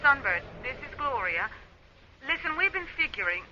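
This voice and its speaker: A woman playing a brisk, confident spaceship crew member in a radio play, assertive and informal over a radio link. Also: high voice, very animated, sharp tone, dull audio, a little hiss or hum, heavily acted. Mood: eager, casual. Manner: matter-of-fact, assertive, informal. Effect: radio transmission filter.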